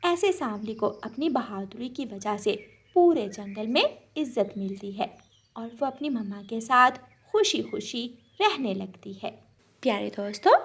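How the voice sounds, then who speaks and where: A woman's voice: a little high, husky. A woman narrating a scripted children's story.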